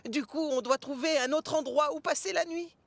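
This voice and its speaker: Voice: high voice, moderate pace, no echo. An actor voicing a kind, polite young male steam locomotive in a French-dubbed children's cartoon, with a friendly, earnest manner.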